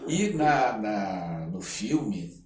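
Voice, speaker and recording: An older man with a Brazilian accent, telling anecdotes as an interview guest in a halting, reflective, casual way. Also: fairly low pitch, very animated, a little mellow. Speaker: interview guest, actor and screenwriter. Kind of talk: casual podcast storytelling. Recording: roomy echo, distant microphone.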